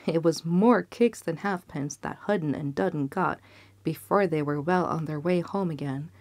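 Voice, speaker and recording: A woman's voice: low-pitched, a little mellow, no echo. A young woman reading a fairy tale aloud indoors, acting out the characters' voices. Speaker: storybook reader. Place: small indoor set with a red curtain.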